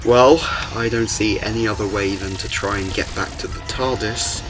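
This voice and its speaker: A man's voice: lowish voice, fairly even intonation, normal speed, music in the background, close to an everyday voice, poor audio. A man playing a commanding alien time traveller in a fan audio drama, urgent but hopeful. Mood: determined.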